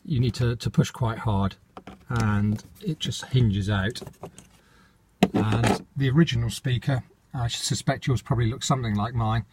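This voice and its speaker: A man's voice: mid-range voice, fairly even intonation, unhurried, noisy background. A British man presenting an informal hands-on how-to in an unscripted, matter-of-fact, slightly hesitant manner.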